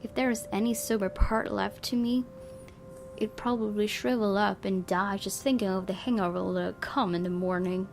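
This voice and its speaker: A woman's voice: mid-range voice, steady tone, normal speed, vocal fry, a little mellow. A woman playing a visual novel, reading its text aloud and adding casual reactions. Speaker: game streamer. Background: game music.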